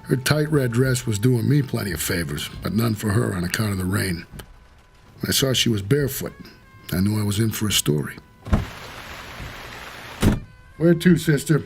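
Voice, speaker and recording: An actor playing a world-weary, streetwise New York cab driver, narrating a noir audio drama in a wry, hard-boiled way with a gravelly, husky voice. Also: male voice, lowish voice, animated, a little fast, a little hiss or hum.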